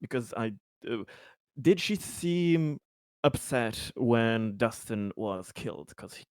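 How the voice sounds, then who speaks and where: A man at a tabletop game, speaking in a mid pitch with a lot of halting starts and stammers. His voice is dry and close to the mic. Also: expressive, darkish tone, smooth, no echo. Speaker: player.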